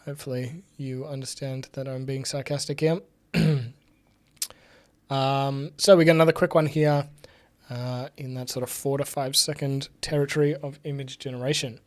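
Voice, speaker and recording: A young man with a touch of rasp in his throat talks through things on a live stream. He sits at his desk in a home office and speaks at an ordinary pitch. He works through his thoughts with plenty of fillers and now and then slips in a deadpan quip. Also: normal speed. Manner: thinking aloud with frequent fillers, dry sarcastic asides. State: raspy voice recovering from illness. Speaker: livestream host. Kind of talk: casual live tech demo commentary. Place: home office with bookshelves, indoors.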